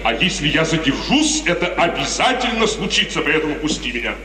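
A man's voice: a little high, big pitch swings, fairly quick. An actor playing a stern, proud, suspicious middle-aged father in a declamatory 1950s Russian radio drama. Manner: declamatory, emphatic. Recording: noisy, reverberant 1950s radio recording, distant.

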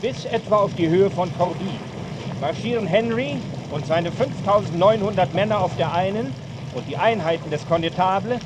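War reporter played by a man, high voice, formal, radio drama, clear outdoor noise. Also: moderate pace, lightly acted. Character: male reporter detailing troop movements.

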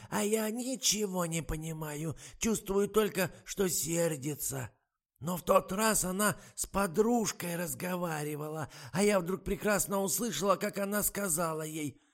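Shrill creaky old granny, deaf and muddled, drags her words out slow. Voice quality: cracked, wheezy. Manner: high quavering, drawn-out, doting. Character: frail deaf elderly actress, muddled and fussy.

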